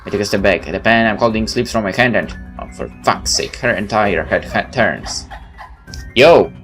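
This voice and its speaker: Deep voiced guy doing a lets play, reads the game text out loud, trips on words, swears, bit of music behind. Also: moderate pace. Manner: stumbles over read text, exasperated swearing asides. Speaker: gaming video host. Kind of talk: let's play, reading visual novel text aloud with reactions.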